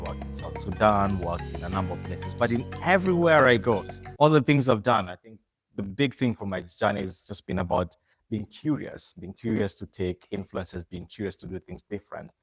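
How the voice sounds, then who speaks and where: A man from Uganda co-hosting a podcast in casual conversation, animated and quick to laugh, often repeating words as he starts a thought. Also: fairly low pitch, music in the background. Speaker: podcast co-host.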